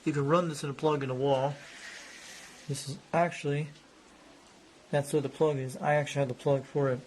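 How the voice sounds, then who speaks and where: A man's voice: on the high side, level tone, fairly quick, a little mellow, a little hiss or hum, poor audio. A man narrating casually as he shows off an old radio, rambling and repeating himself. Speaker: hobbyist showing a radio. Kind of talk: casual show-and-tell narration.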